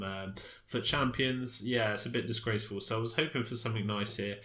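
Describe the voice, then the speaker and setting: A man's voice: on the low side, bright, forceful tone, echoey room. A man with a stuffy, congested voice from a cold, casually commenting on his game with many fillers and restarts. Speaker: gaming commentator.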